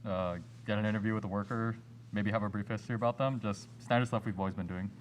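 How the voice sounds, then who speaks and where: A man's voice: fairly low pitch, fairly even intonation, fast talker, close to an everyday voice. A young man acting an easygoing, candid student broadcaster in an amateur radio play. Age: young adult. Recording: slight background noise.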